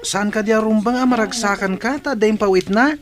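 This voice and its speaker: A woman's voice: medium pitch, normal speed, crowd noise, lightly acted. A woman playing a caring grown-up who questions a little girl in a radio drama. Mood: curious, caring. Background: music.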